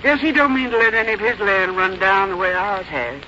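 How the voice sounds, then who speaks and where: A woman's voice: mid-range voice, brisk, bright, forceful tone, natural voice. An actress playing a fussy, fond but bossy older American farm mother in a 1950s radio drama, with homespun, matter-of-fact phrasing. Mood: homely, bossy. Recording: muffled, noisy old radio broadcast recording.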